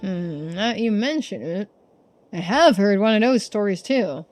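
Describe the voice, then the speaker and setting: A woman voicing a gruff, greedy older collector obsessed with immortality, talking bluntly and dismissively in video game dialogue. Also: mid-range voice, animated, unhurried, a little mellow, no room sound, soft background music, natural voice. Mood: skeptical. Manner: dismissive, blunt, folksy speech.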